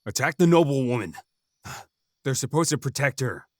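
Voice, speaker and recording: A man's voice: mid-range voice, lively intonation, normal speed, no echo, clean studio sound, natural voice. A man playing a cold, taunting bandit villain in a fantasy audio drama. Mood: scheming, impatient.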